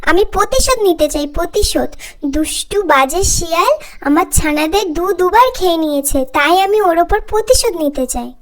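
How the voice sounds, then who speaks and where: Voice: extremely high pitch, moderate pace. A performer voicing a small, grieving mother tailorbird in a cartoon fable, with a plaintive, earnest, childlike female-sounding voice. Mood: bitter, sorrowful. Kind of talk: cartoon fable character acting.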